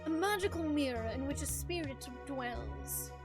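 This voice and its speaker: Female game streamer, very high and lively voice, expressive chat and read-aloud narration, music underneath. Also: measured pace. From United States. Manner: animated, expressive, chatty. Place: indoors, game livestream with music behind.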